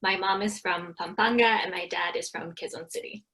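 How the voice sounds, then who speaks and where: A woman's voice: on the low side, fairly even intonation, normal speed, pushed and bright. A woman raised in Iowa, a family medicine physician speaking conversationally with fillers. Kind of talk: video testimonial.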